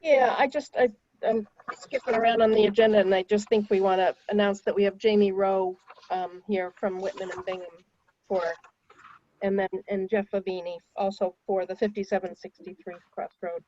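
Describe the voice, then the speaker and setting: A woman on a video call gives announcements at a town commission meeting, pausing with fillers. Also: medium pitch, steady tone, dark tone, slight background noise. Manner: hesitant, filler-heavy. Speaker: commission member. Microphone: remote video call. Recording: dull dry call audio.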